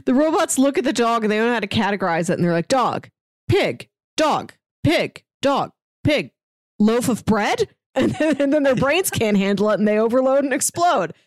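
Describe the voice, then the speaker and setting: A woman's voice: fairly high pitch, big pitch swings, moderate pace, no echo, clean studio sound. A woman co-hosting a podcast, chatting casually about a film in a giggly way. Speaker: podcast co-host.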